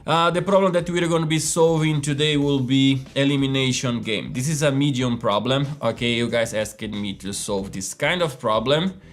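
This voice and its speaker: A man's voice: mid-range voice, moderate pace, few pauses. A man hosting a casual live coding lesson, chatty and full of fillers, often checking in with "right?" and "okay?". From Brazil. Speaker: host of a live coding stream. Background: faint background music.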